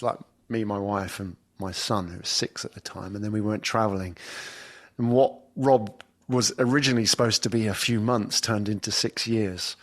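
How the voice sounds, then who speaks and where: A man with an English accent, a podcast guest speaking remotely in a thoughtful, storytelling way. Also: on the low side. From England. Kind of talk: conversational interview. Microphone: remote call from afar.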